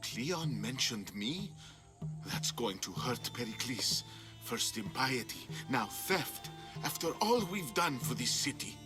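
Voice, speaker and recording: Fretful proud Greek sculptor, theatrical, swooping intonation, game drama, music behind. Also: male voice, medium pitch, very animated, slow pace. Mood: earnest. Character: anxious, proud male sculptor of ancient Athens, theatrical. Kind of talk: dramatic video game acting.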